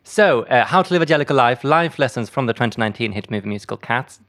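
Creaky-voiced man, playful interview guest. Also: medium pitch, animated, fast, a bit bright. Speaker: comedian guest promoting a show. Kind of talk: casual radio interview chat.

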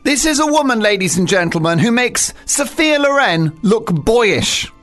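A man's voice: very high voice, very animated, measured pace, fairly bright tone, soft background music. A man hosting a radio talk show, teasing his co-host and building up grand, theatrical introductions. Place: radio studio.

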